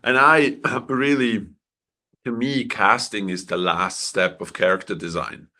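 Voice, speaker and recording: Swiss director guy, low-ish bright voice, kinda hoarse, lots of restarts. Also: steady tone. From Switzerland. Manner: halting, many restarts and fillers. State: losing his voice, clearing throat. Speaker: film director. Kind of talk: interview answers.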